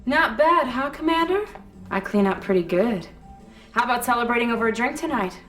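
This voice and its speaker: A woman's voice: fairly high pitch, lively intonation, fairly quick, fairly bright tone, soft background music. A woman playing a cocky, flirtatious soldier in a game, talking in a breezy, informal way. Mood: playful, flirtatious.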